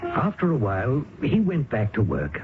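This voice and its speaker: An actor playing an older English colleague who narrates the story in a measured, soothing way. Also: male voice, medium pitch, huge pitch swings. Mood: calm, somber. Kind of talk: storytelling narration in radio drama. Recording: old radio broadcast, phone-like band with music.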